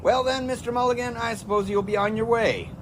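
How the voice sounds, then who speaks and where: A man's voice: very high voice, fairly even intonation, fairly quick. A man playing a breezy, confident, chummy 1920s test pilot in an audio drama. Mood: jaunty. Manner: jaunty, chummy.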